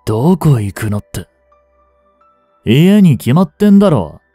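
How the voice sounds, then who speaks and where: An actor playing a cocky, rough-tongued young male delinquent in a Japanese audio drama, with brash, slangy tough-guy talk. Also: mid-range voice, very animated, a little slow, warm tone, no echo, soft background music. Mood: irritated, gruff. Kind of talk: Japanese audio drama acting, one-sided dialogue to the listener.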